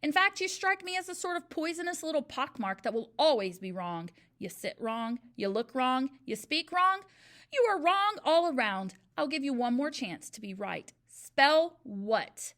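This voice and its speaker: A woman's voice: a little high, sing-song, normal speed. Woman putting on a bellowing bully headmistress. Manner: loud, barking, snapping. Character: huge bullying woman headmistress, furious, barking.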